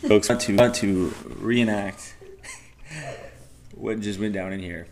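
A man's voice: on the low side, steady tone, takes it slowly, a crowd in the background. A young man laughing as he tells a prank story to a handheld camera indoors. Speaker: friend on the trip.

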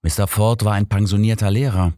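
A man's voice: lowish voice, steady tone, fairly quick, warm tone, no echo, studio quality, voice pushed up, close to an everyday voice. Man voicing an earnest, officious police inspector. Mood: informative.

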